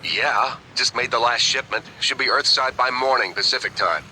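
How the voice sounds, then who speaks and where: Terse, irritable smuggler, noisy background. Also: male voice, medium pitch, moderate pace, sharp tone. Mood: dry, grumbling. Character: gruff, proud male gadget-tinkering smuggler, easily needled. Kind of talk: scripted game dialogue.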